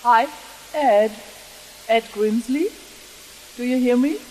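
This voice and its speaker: A woman from Germany with a German accent, asking a question from the audience in halting, apologetic non-native English. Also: a little high, darkish tone. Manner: hesitant, apologetic. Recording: hissy, echoing conference hall.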